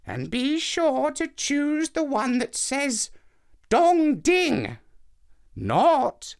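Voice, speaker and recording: A man voicing a calm, patient, mysterious old woman in a children's story, speaking with a lilt. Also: very high-pitched, vocal fry, voice pushed up, full character voice. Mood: patient, instructing. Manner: slow, lilting, patient.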